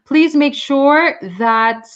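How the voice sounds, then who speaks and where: A woman's voice: sits high, very slow, muffled recording. A woman from Pakistan teaching English on a live video call, chatty and explaining with examples and many fillers. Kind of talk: live online English lesson.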